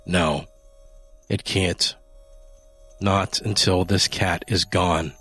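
A man playing a calm, cold husband who speaks quietly and firmly. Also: low-pitched, very slow, husky, no echo, faint music behind, exaggerated voice. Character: calm, cold husband set on harming a cat.

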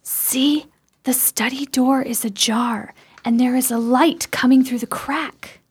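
Bold, husky, high-voiced sister played by an actress. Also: female voice, steady tone, slow, heavily acted. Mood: tense, awed. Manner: formal, dramatic diction. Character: bold, curious, strong-willed sister in a gothic chiller. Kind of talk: theatrical radio play acting.

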